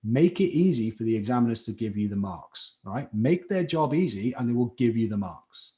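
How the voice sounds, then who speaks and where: Chatty Scouse chemistry tutor. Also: male voice, medium pitch, expressive, brisk, dark tone. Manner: chatty, encouraging, reads out chat names. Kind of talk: live online class teaching.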